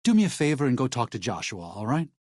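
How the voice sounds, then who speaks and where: An earnest, gentle missionary, dry sound. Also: male voice, mid-range voice, sing-song, fast talker, no room sound. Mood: polite, wary. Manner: earnest, soft-spoken, hesitant pleading. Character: gentle, earnest male missionary, devout and worried for his flock. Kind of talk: video game character dialogue.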